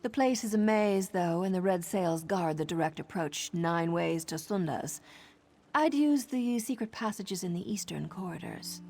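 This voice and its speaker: Wry, low-voiced assassin informant. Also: female voice, lively intonation, moderate pace, a little mellow, slight background noise. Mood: conspiratorial, instructive. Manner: sardonic, conspiratorial. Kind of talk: video game character dialogue.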